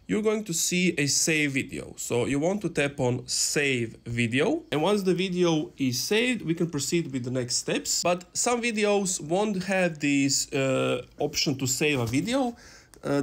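A man's voice: on the high side, vocal fry. A man in his twenties hosting a casual step-by-step tech tutorial in an informal, instructional way. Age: 20s. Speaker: tutorial host. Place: small room indoors.

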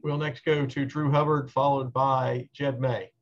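A man's voice: mid-range voice, level tone. Middle-aged man moderating over a dull call line. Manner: brisk, businesslike. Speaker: press conference moderator. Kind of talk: calling on reporters. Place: office, indoors. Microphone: video call. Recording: dull lo-fi video call audio.

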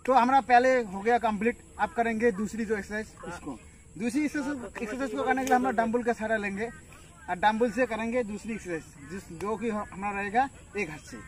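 High-voiced young man coaching outdoors. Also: brisk. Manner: talks while lifting, counts reps aloud, many fillers. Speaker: fitness instructor. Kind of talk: casual workout demonstration. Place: open farm field outdoors. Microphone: camera at a distance. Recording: distant outdoor camera audio, faint noise.

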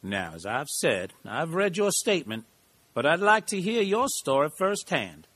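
A man's voice: on the high side, big pitch swings, a little slow, a bit bright, breathy edge, exaggerated voice. A man playing a genial, eccentric middle-aged small-town lawyer in an audio drama, with a folksy, rambling storyteller's manner. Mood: folksy storytelling.

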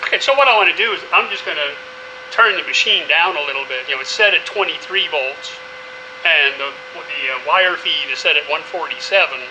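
A man's voice: on the high side, a little fast, background noise. A middle-aged man giving a casual, folksy welding demonstration in his workshop, full of "you know"s. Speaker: welding instructor. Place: indoor metal workshop.

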